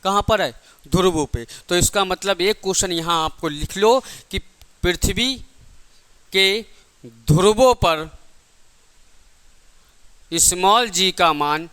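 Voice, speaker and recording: A man's voice: sits high, slow, pauses often, a bit bright, clean tone. A man teaching a lecture in a didactic way, repeating points and asking rhetorical questions. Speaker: physics teacher for exam coaching. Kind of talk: classroom lecture.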